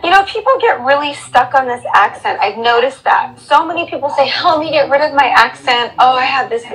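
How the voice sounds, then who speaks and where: American female teacher, guest in a casual chat, explains with examples, bright and crisp. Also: high-pitched, lively intonation, fairly quick, low-quality recording. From United States. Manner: friendly, explanatory, uses examples.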